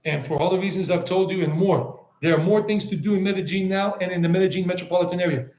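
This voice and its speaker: A man's voice: sits high, fast, darkish tone, echoey room. A man hosting a casual live stream, chatting in a rambling way, answering viewer comments and dropping in Spanish words. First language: English.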